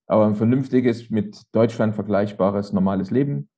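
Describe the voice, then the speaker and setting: A man's voice: fairly low pitch, moderate pace, warm tone, slightly rough. A man hosting a podcast interview, asking long rambling questions with restarts. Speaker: podcast host.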